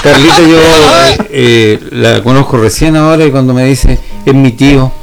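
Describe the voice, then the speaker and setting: A man's voice: mid-range voice, unhurried, vocal fry, over loud music. A man with a Chilean accent chatting casually on the radio as a co-host.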